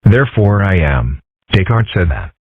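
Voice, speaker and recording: Casting: male actor for earnest young philosopher fox in a comic dub, deep-pitched, dry and articulate, dull lo-fi recording. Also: sing-song, normal speed, slightly rough, no room sound, exaggerated voice. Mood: earnest, philosophical. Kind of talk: comic strip dub, character acting. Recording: muffled, dull, dry audio.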